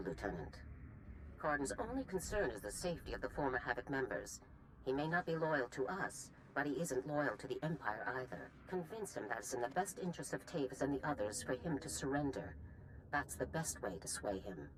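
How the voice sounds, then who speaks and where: A woman playing a stern, authoritative general who speaks in a measured, commanding way in a game cutscene. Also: rumbling low, big pitch swings, slight background noise, poor audio. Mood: firm, urging. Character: stern, authoritative female general briefing a soldier. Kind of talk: video game cutscene acting.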